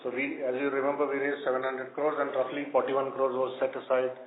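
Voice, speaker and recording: Male executive, mid-pitched, explanatory and emphatic, heard over an echoey phone-like conference line. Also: monotone, brisk, slight background noise. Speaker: company executive answering analysts. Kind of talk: earnings call answers.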